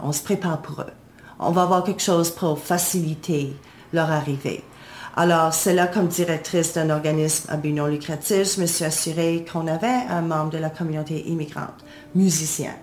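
A woman's voice: lowish voice, darkish tone, roomy sound. A woman, formerly director of a music nonprofit, telling her story earnestly in a radio interview and explaining things step by step.

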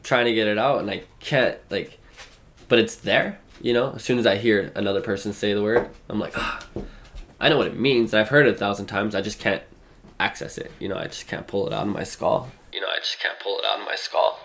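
Man, French native, lowish voice, chatty interviewee full of fillers. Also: fairly even intonation, a little fast, creaky, a bit bright, slight background noise. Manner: casual, filler-heavy. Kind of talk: casual interview talk.

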